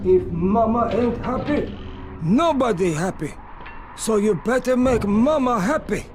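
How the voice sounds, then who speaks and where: Very high-voiced childlike grown man. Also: lively intonation, a little slow, noisy background. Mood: childlike, insistent. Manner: repetitive, sing-song rhyming, simple childlike phrasing. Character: childlike, stubborn grown man devoted to his mother.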